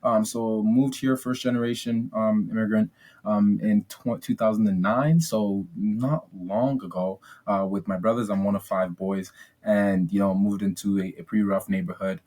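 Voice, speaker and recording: A young man from Ghana speaks in a fairly low voice. He talks as a podcast guest, chatty and upbeat, filling pauses with ums and restarting his sentences. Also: steady tone, dark tone. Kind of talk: casual interview storytelling.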